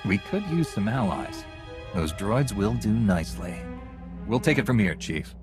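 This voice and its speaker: An actor playing a calm, resolute Jedi hero in a video game, speaking in a direct, measured, authoritative way. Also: male voice, lowish voice, music in the background. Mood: businesslike.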